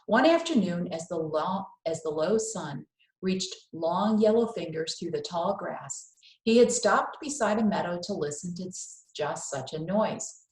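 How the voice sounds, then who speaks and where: A woman reading a children's story aloud in a careful, expressive storytelling manner with dramatic pauses. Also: fairly low pitch. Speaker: read-aloud host. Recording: roomy, echoing indoor sound.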